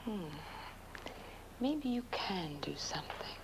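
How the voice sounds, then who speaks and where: An actress playing a fearful, trapped young wife in horror-comedy film dialogue, breathy and melodramatic, often sinking toward a whisper. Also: female voice, mid-range voice, sing-song, slow pace, noisy background. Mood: hushed, tentative. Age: young adult. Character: fearful, trapped young woman married to a corpse. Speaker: film actor.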